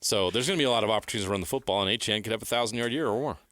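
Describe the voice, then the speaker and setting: A man's voice: lowish voice, rapid-fire, a bit bright, dry recording, clean studio sound. An American man co-hosting a sports radio show, giving blunt opinions and teasing in casual banter.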